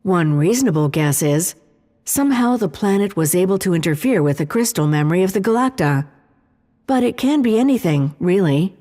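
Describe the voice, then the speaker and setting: A mellow female artificial intelligence speaks inside a long, hall-like reverb. She is calm and precise, laying out analysis in measured, formal sentences, as in a sci-fi audio drama. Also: on the low side. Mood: calm, helpful.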